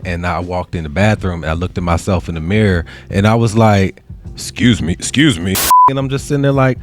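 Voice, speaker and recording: A man with a deep voice telling casual, joking stories as a podcast host, often halting and repeating himself. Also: loud music behind the voice. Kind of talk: casual storytelling chat.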